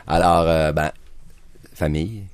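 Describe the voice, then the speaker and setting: A man's voice: very deep voice, steady tone, measured pace, clean tone, no echo. A man with a Québécois French accent, a band member guesting on a radio show, talking in an upbeat, joking way. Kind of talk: casual radio interview.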